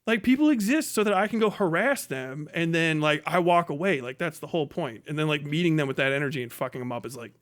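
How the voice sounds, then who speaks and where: A man's voice: fairly high pitch, animated, quick pace, few pauses, a bit bright. A man ranting and telling stories casually on a live stream, blunt and swearing freely. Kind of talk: casual, profane ranting and storytelling.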